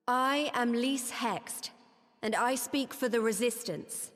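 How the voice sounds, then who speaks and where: An actress playing an earnest, hopeful young resistance leader in a video game, addressing others plainly and formally. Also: female voice, a little high, close to an everyday voice. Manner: earnest, clear public address. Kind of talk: dramatic video game cutscene acting. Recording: long reverberant hall echo.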